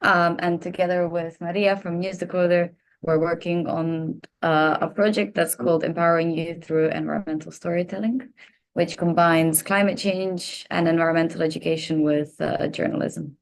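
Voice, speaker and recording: A woman's voice: fairly low pitch, steady tone, moderate pace, gravelly. A woman from Serbia, guiding a seminar audience through a workshop activity with frequent "um" fillers, heard over a video call. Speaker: workshop facilitator. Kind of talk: leading a workshop.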